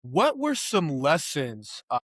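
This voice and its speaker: Friendly podcast host man, slightly high voice. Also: huge pitch swings, slow pace, fairly bright tone, dry recording, clean studio sound. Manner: friendly, affirming, conversational. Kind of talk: casual interview talk.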